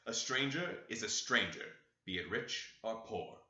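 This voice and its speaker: A man's voice: fairly low pitch, expressive, measured pace, sharp tone, heavily acted. A male reader voicing a stern, morose grown man who speaks coldly. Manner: stern, cold delivery. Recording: dull, roomy home recording.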